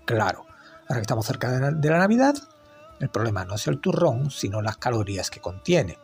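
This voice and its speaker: Gravelly, lively-voiced male commentator. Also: mid-range voice, a little fast, soft background music. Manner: ironic, critical commentary. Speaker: environmental commentator. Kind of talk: scripted opinion segment.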